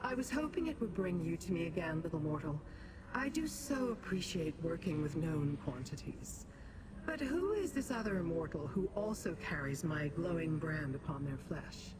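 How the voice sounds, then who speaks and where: A woman voicing a haughty, calculating demon sorceress in a fantasy video game, formal and condescending in her measured delivery. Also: lowish voice, sing-song, noisy background. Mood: imperious, appraising.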